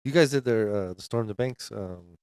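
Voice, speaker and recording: A man's voice: on the low side, moderate pace, darkish tone, clear, resonant tone, no echo. A male podcast host in casual, profane banter, often jumping in with short interjections.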